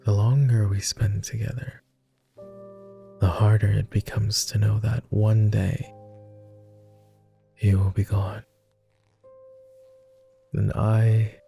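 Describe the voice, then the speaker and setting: A man playing a tender, easily flustered vampire lord with formal phrasing and a soft, gravelly, breathy voice, in an intimate ASMR boyfriend roleplay. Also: fairly low pitch, level tone, slow pace, long pauses, faint music behind. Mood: tender, troubled. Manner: hesitant, formal old-fashioned phrasing.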